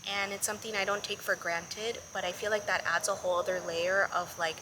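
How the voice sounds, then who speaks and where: A woman's voice: medium pitch, steady tone, fairly quick. Reflective woman host, noisy recording. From Colombian, living in Miami. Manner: reflective, thoughtful, hesitant restarts. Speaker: podcast host. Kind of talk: casual interview conversation.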